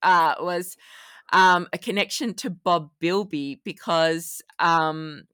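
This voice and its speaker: A woman's voice: fairly low pitch, slow, a bit bright, clean tone, dry recording, studio quality. A woman co-hosting a casual fan podcast, chatting with an enthusiastic manner. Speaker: podcast co-host.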